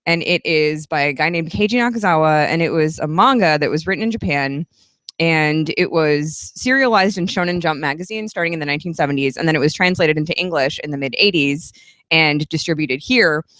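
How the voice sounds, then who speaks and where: Low-voiced woman chatting earnestly. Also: a little fast, fairly bright tone, no echo, studio quality. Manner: chatty and earnest, long flowing answers. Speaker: guest author. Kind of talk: casual interview at a live event.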